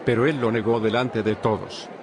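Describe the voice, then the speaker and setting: A man narrating a dramatized scripture reading in a steady, formal storytelling manner. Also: mid-range voice, moderate pace, crowd noise, noisy background.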